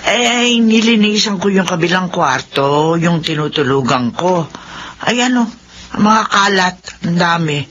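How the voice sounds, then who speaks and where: A woman's voice: on the low side, expressive, a little slow, fairly bright tone. An actress playing a fussy, hardworking old Filipino grandmother in a radio drama, exclaiming and fondly scolding. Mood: fussing. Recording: muffled, slightly noisy radio broadcast.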